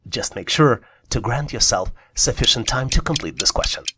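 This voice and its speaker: A man's voice: medium pitch, animated, normal speed, bright, forceful tone, breathy edge. A man narrating a scripted puzzle tutorial as an instructor, encouraging and speaking directly to the listener. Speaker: online test-prep instructor.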